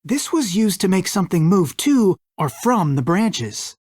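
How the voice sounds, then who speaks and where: A woman's voice: on the low side, sing-song, a little slow, darkish tone, breathy edge, no echo, studio quality, full character voice. A woman playing a skeptical, no-nonsense investigator in a video game. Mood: suspicious, businesslike. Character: skeptical, practical female investigator, no-nonsense and wry.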